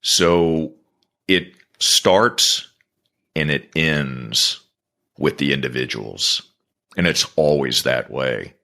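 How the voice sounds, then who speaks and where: Very low voiced guy, slow and steady, kinda forceful, earnest coaching tone. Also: gravelly. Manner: earnest, measured, builds points in repeated phrases. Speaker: business consultant, guest. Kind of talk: podcast interview answers.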